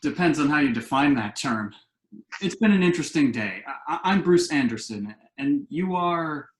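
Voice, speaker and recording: A man acting in a play reading as an earnest, slightly flustered hopeful entrepreneur, speaking haltingly. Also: fairly high pitch, lively intonation, lightly acted. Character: earnest adult son and hopeful entrepreneur, husband, somewhat flustered. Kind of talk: virtual stage play reading. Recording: roomy, echoing home recording.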